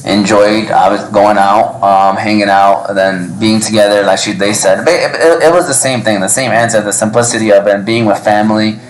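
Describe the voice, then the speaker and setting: A man's voice: lowish voice, fairly even intonation, brisk, few pauses. A man of Yemeni background hosting a live podcast panel, talking casually with lots of ums and restarts. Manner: rambling, hesitant with many ums. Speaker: podcast host. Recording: echoey restaurant room.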